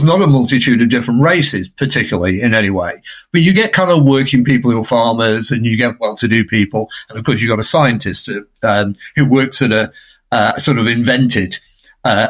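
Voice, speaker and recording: A man with a medium-pitched voice speaks as a scholarly podcast guest. He explores ideas in a discursive, unscripted way, often turning statements into tag questions. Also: brisk, fairly bright tone.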